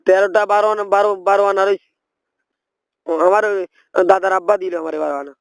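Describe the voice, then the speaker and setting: High-pitched, creaky rural Bangladeshi prank caller, male. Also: fairly even intonation, no echo. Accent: rural regional Bangladeshi. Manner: feigns confusion, repeats himself, haltingly evasive. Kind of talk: rambling prank phone call.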